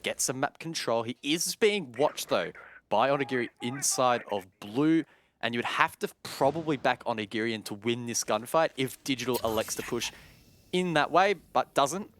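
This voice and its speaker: A man giving tactical color commentary on a competitive shooter match, steady and detailed. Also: mid-range voice, moderate pace, slight background noise. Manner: steady, detailed tactical explanation with occasional self-corrections. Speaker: esports analyst caster. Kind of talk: analytical esports color commentary.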